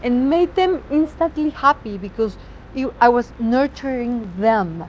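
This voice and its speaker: A woman's voice: fairly high pitch, animated, unhurried, dull audio, a little hiss or hum. A woman with a Mexican accent, native Spanish speaker, a chef talking expressively outdoors and sometimes searching for words. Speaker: chef, guest. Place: outdoors on a city street.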